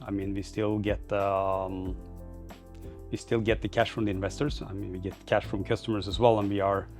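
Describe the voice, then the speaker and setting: A man speaks in a fairly low voice with a clear creak to it. He answers interview questions informally, as a company founder would, circling through his thoughts with loose, hesitant phrasing. Faint music sits behind him. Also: level tone, normal speed, a little mellow. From Norway. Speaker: startup founder being interviewed.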